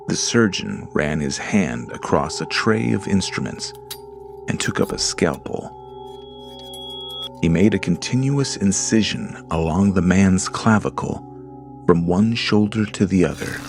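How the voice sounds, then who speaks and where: A man with a very deep, gravelly voice reads a horror tale at a slow, deliberate pace. His measured, ominous delivery sits over a faint bed of creepy music. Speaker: narrator. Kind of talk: scripted horror narration.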